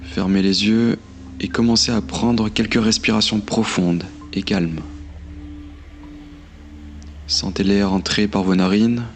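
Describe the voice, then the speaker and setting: Low-pitched man guiding meditation, very even. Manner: calm, soothing, measured delivery with long pauses. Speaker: guided meditation instructor. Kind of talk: slow guided meditation narration. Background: meditation music.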